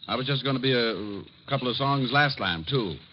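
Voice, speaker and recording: An actor playing a suave, cocky private detective with dry, teasing wisecracks in a 1950s radio drama. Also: male voice, mid-range voice, fairly quick, close to an everyday voice. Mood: wry, joking. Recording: muffled, noisy old radio recording.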